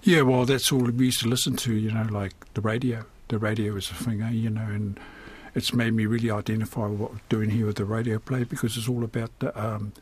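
A man's voice: on the low side, flat delivery, fairly quick, hardly any pauses, slight background noise. An older man with a New Zealand accent, speaking candidly and colloquially as an interview guest, reflecting on his own life. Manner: candid, colloquial, often adds "you know" and "eh". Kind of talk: reflective personal interview talk.

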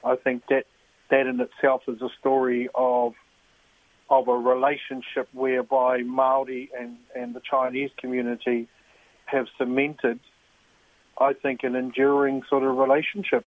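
A man's voice: medium pitch, monotone, no room sound. Man on a phone line. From New Zealand. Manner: thoughtful, halting, with fillers. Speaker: trust general manager. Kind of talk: interview answers.

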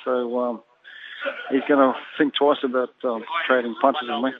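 A Samoan-born boxer being interviewed, talking haltingly and filling pauses with "you know". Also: male voice, mid-range voice, level tone, a crowd in the background. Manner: halting, leans heavily on "you know" fillers. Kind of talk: phone interview. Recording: tinny phone line.

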